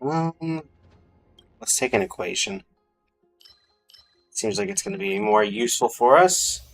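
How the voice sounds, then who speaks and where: A man's voice: fairly low pitch, lively intonation, measured pace, a bit bright. A man giving casual gameplay commentary as a streamer, half-muttering his thoughts aloud to himself. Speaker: game streamer. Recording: music behind the voice.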